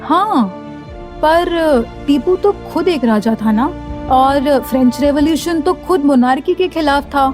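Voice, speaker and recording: A woman's voice: sits high, measured pace, over music. A woman with an Indian accent playing a curious, respectful young university student in conversational radio play dialogue. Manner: inquisitive, respectful.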